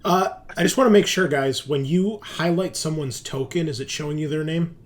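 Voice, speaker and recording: A man's voice: fairly high pitch, moderate pace. A man narrating a tabletop roleplaying game as game master, talking conversationally and directly to his players.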